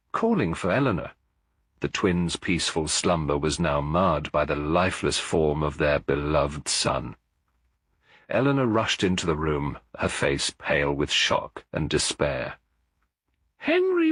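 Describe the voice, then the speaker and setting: A man reading a scripted mystery story as a narrator, in a measured, solemn and dramatic way. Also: extremely low pitch, a bit bright, slightly rough, no room sound, studio quality. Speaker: audiobook narrator.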